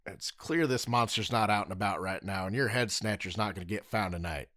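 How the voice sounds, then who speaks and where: A man's voice: fairly low pitch, brisk, fairly bright tone, dry recording, studio quality, natural voice. A man playing a polite, easygoing young male armored hero with a drawling, good-humored manner. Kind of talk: audio drama acting.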